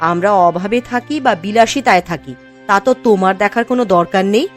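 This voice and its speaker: A woman's voice: mid-range voice, quick pace, soft background music, close to an everyday voice. A woman voicing a dignified, principled village wife in a cartoon, firm and admonishing. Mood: firm, reproving. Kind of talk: cartoon story dialogue.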